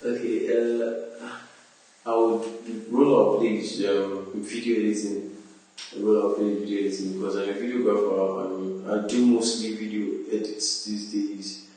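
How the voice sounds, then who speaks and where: A man's voice: lowish voice, flat delivery, a little slow, mellow. A man who works as a videographer answers an interview question hesitantly. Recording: very echoey room, distant mic.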